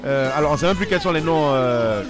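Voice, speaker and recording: A man making his point in a talk show debate, measured and halting with fillers. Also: fairly high pitch, animated. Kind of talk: casual debate. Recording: music clearly playing behind.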